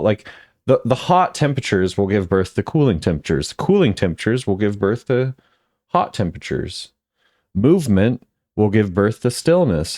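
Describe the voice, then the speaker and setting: A man's voice: on the low side, a little mellow, no room sound, clean studio sound. A man giving casual chatty commentary as a video creator, often repeating phrases for emphasis. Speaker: video creator ranking game voice lines.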